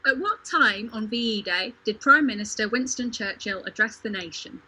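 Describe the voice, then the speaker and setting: A woman's voice: a little high, animated, moderate pace, bright, forceful tone, husky, low-quality recording. A woman running a casual quiz on a livestream as quizmaster, playful and jokey. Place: home video call.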